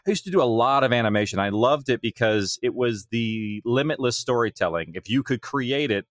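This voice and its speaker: A man's voice: medium pitch, animated, fairly quick, no echo, studio quality. A man in his thirties or forties speaking as an interview guest, telling stories about his creative work in a casual way. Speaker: guest, audio drama creator.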